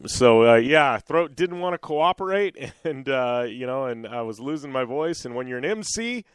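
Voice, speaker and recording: A man hosting a radio show in a casual, rambling way, full of "you know" and restarts. His voice is a little raspy from a cold, yet it still comes through smooth and resonant. The sound is close and dry, with no room behind it. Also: medium pitch, expressive, moderate pace, dry recording. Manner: rambling, many fillers and restarts. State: slightly raspy from losing his voice.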